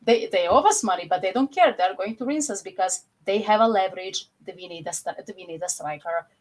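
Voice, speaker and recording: A woman's voice: medium pitch, animated, a little fast. A young woman with a Croatian accent co-hosting a casual football chat on a video call. She talks animatedly and fills her sentences with "you know". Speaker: podcast co-host.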